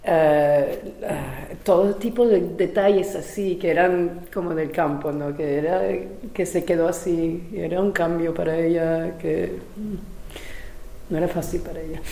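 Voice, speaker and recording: A woman, a playwright giving an interview, talking in a casual recollecting way with pauses, fillers and uneven pace. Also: fairly low pitch, fairly even intonation, mellow, roomy sound, poor audio. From Venezuela. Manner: hesitant, restarts and fillers, speeds up and slows down.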